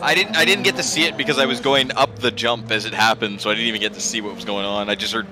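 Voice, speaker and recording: A man's voice: on the low side, quick pace. A man chatting casually with friends as he plays an online racing game, car engines audible behind him. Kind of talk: casual gaming chat with friends. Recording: loud race car engine noise. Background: race car engines from a video game.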